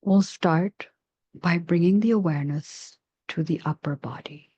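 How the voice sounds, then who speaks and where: Woman, meditation guide, soft dark mellow tone, unhurried long pauses, dry close sound, muffled low-fidelity audio. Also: dry recording.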